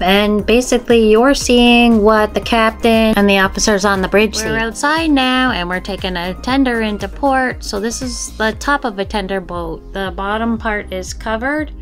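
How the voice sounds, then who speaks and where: A woman narrating a casual guided tour as a travel vlogger, chatting to viewers with tips and questions. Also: mid-range voice.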